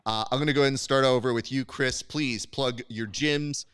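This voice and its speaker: A man's voice: medium pitch, fairly even intonation, brisk, fairly bright tone, clean tone, no echo, clean studio sound. A man hosting a casual post-show chat, joking, teasing and rambling as he runs the segment. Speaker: show host.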